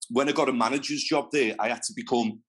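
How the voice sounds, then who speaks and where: Guy rambling on in an interview, chatty, keeps restarting sentences, medium pitch. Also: steady tone, fast talker, fairly bright tone. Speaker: interview guest, fitness trainer. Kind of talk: casual interview chat.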